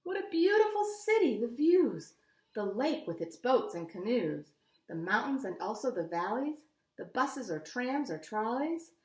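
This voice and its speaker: A woman's voice: on the high side, unhurried. A woman with an American accent reads a picture book aloud expressively to young listeners, with casual asides. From United States. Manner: animated, addressing young listeners. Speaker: children's story reader. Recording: echoey room.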